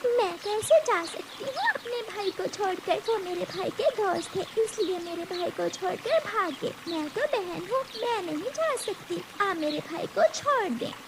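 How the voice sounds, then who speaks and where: Woman as a very high, pleading little girl bird. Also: expressive, unhurried, few pauses, very noisy, exaggerated voice. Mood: brave, pleading. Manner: pleading, polite, coaxing. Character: brave, sweet, clever little girl bird, loyal sister. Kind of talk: Hindi cartoon story character voice.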